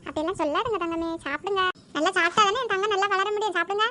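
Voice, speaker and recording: A performer with a very high voice playing a harsh, bossy stepmother in a home-made skit who scolds and gives orders in speech. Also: rapid-fire. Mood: coaxing. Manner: shrill, bossy, shouts orders.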